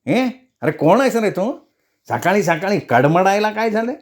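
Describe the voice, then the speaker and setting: A man's voice: high-pitched, sing-song, normal speed, pitched above the performer's own voice. A man voicing a cranky, nasal, half-deaf old writer who is brusque and pompous in a comic story. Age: older. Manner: brusque, demanding people speak up.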